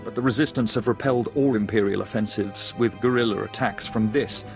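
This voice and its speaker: A refined, quietly cruel military schemer in a sci-fi game. His voice is fairly low, precise and smug, under loud music. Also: fairly even intonation, a little fast, creaky. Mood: gleeful, scheming. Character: refined, coldly calculating male Imperial lieutenant, smugly cruel. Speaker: military officer character. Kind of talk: video game voice acting.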